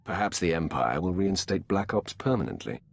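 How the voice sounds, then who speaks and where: An actor voicing an imperious, menacing dark lord in a video game, with a haughty, commanding delivery. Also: male voice, deep voice, lively intonation, normal speed, vocal fry, heavily acted. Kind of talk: scripted game dialogue.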